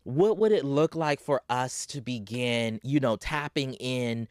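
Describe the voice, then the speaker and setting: A guest on a podcast, talking in a sassy, playful and emphatic casual chat. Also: male voice, medium pitch, measured pace, darkish tone, dry recording, studio quality.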